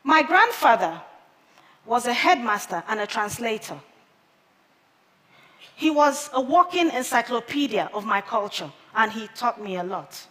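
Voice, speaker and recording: A woman in her thirties, a native Igbo speaker, speaks slowly and deliberately from a theater stage, her fairly high voice rising and falling in lively swings. Her headset mic picks her up clearly, with a long reverb tail ringing through the large hall. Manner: slow, measured delivery with careful pauses. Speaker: conference speaker. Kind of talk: prepared public talk.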